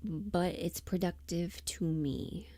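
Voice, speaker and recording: Mellow, slow-paced woman murmuring on a livestream. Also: on the low side, steady tone, no echo. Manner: halting, self-deprecating, thinking aloud. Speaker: livestream host, voice performer. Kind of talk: casual livestream chat, muttering to self.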